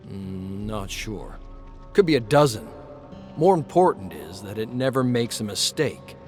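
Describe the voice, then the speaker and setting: A man's voice: lowish voice, animated. Man playing a hesitant, earnest young inventor. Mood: thoughtful. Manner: hesitant, halting. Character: earnest, deferential young working-class male inventor. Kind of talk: dramatized game lore narration. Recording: music under the voice.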